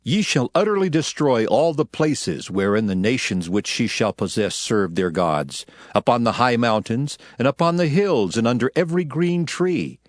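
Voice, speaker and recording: An actor playing a stern prophet delivering divine law in a dramatized scripture reading, emphatic and declamatory. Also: male voice, mid-range voice, expressive, moderate pace, few pauses, dry recording, studio quality, lightly acted. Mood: stern, commanding. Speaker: actor in dramatized audio Bible.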